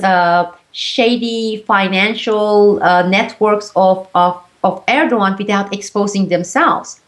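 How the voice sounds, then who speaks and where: A woman whose first language is Turkish, speaking as an interview guest and explaining in an earnest way with frequent hesitations. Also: mid-range voice. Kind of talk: interview conversation.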